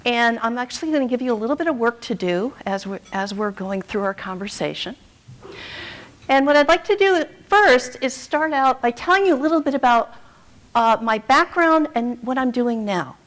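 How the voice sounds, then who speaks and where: A woman's voice: fairly high pitch, animated, moderate pace, a bit bright. A middle-aged woman giving a keynote in a hotel ballroom, upbeat and conversational. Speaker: keynote speaker, university program director. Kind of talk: keynote talk.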